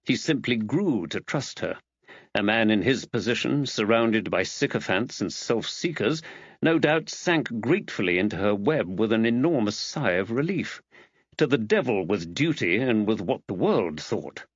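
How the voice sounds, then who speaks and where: A man narrates a literary audiobook in a measured, expressive way. His pitch is middling but moves about a great deal, and the recording is dry and slightly dull. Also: husky, no echo.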